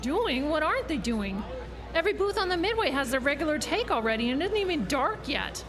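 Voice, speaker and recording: An actress playing a brash, bossy, excitable young carnival woman in a horror audio drama, sassy and pushy. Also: high-pitched, fairly quick, music in the background, a crowd in the background. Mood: excited, sassy.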